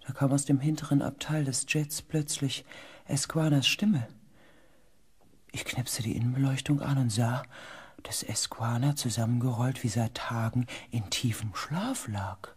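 A man playing a shaken, earnest expedition pilot in a science fiction radio play, with weighty, hushed dramatic delivery. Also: medium pitch, unhurried, slightly rough. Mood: hushed, tense.

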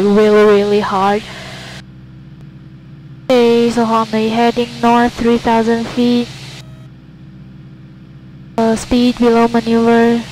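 Young female pilot-vlogger, slow-paced casual self-talk, fairly flat intonation. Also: on the high side, poor audio. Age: young adult. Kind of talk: casual self-talk while flying, reciting checklists. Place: small airplane cockpit in flight. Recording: steady cockpit engine noise.

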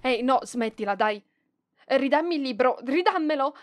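Una donna che interpreta una giovane bibliotecaria orgogliosa e impacciata, scontrosa ma tenera, esitante e indignata, in un roleplay ASMR. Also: female voice, sits high, lively intonation, slight background noise. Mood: indignant, alarmed. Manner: hesitant, indignant, halting with sighs. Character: proud, flustered young female librarian, prickly but softening. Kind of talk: ASMR roleplay voice acting, one side of dialogue.

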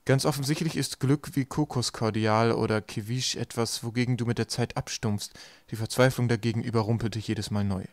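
A man's voice: mid-range voice, fairly even intonation, brisk, hardly any pauses, no echo. A man reading scripted storybook narration as an audiobook narrator.

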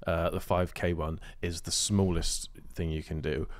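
A man's voice: sits low, level tone, unhurried, dry recording. A British man in his twenties co-hosting a podcast, chatting casually in a matter-of-fact, explanatory way into a close microphone. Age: 20s. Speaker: podcast co-host. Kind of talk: casual chat. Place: small office-style studio, indoors. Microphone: close podcast microphone on stand.

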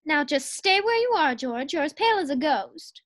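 Radio sitcom wife, female actor: very high, animated, teasing, affectionate, quick with a quip. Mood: coaxing. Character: Liz, brisk, wisecracking, affectionate housewife. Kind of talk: 1940s radio sitcom acting.

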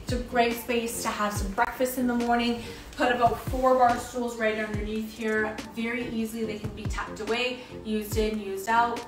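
A woman's voice: on the high side, level tone, moderate pace. A woman working as a real estate agent, leading an upbeat walkthrough of a house and repeating words for emphasis. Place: inside a house, walking room to room. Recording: roomy, from a distance, music behind.

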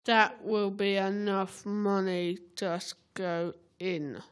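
A woman's voice: mid-range voice, steady tone, takes it slowly, clean tone, natural voice. A woman playing a rude, tired, impatient taxi driver and ticket officer in an amateur radio play. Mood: grumpy, impatient. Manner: blunt, impatient, bossy. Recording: faint background noise.

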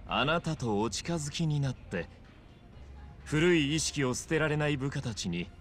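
A man's voice: on the high side, expressive, moderate pace, soft background music, close to an everyday voice. Suave young gangster boss, acted by a man, swooping intonation. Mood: polite, calculating. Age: young adult. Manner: smooth, polite, theatrical. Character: suave young male gangster boss running a club, smug. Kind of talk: video game cutscene acting.

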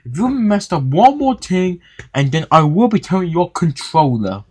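A man's voice: high-pitched, sing-song, moderate pace, darkish tone, husky, voice pushed up, full character voice. A man voicing a furious, bossy film manager who scolds and gets flustered in a children's story. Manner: scolding, exclamatory.

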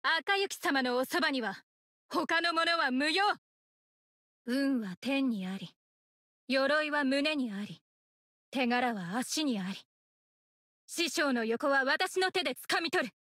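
A woman's voice: high-pitched, lively intonation, slow, long pauses, pushed and bright, no room sound, studio quality, full character voice. A woman voicing a devoted young female ninja in an anime game, earnest, terse and possessive of her master. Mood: devoted, resolute, then solemn, confident. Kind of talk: Japanese anime game character voice lines.

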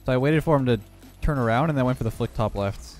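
A young man talking through his own matches in a relaxed, steady running commentary. His voice is hoarse and a little rough from having lost it earlier, sitting at an ordinary middle pitch. Faint game music plays under him. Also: fast talker. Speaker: gaming YouTuber. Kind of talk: live gameplay commentary.

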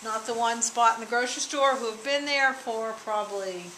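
A woman's voice: a little high, a bit bright. A middle-aged woman visiting a small farm store, chatting as a curious customer and exclaiming at what she learns. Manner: enthusiastic, quick to exclaim. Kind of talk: casual chat. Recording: echoey room, background noise.

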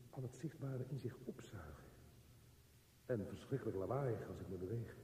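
An actor playing a frightened, brooding man lost in a dark tunnel, in a halting radio play monologue. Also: fairly low pitch. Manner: halting, fragmented, intense interior monologue. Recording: echoey reverberant tunnel sound, faint hiss.

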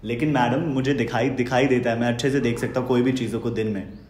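A man's voice: mid-range voice, fairly even intonation, fast, a little mellow. A man in his twenties, politely and a little hesitantly hosting a mock-formal phone quiz as a prank caller. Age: 20s. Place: small home room, indoors. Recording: roomy indoor echo.

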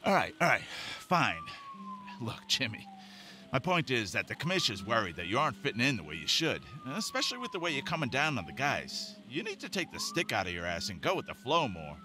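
A man's voice: mid-range voice, wildly swooping pitch, fairly quick, bright, forceful tone, slight background noise, exaggerated voice. A man playing a slippery, overfamiliar crooked cop in an audio drama, chummy and cajoling.

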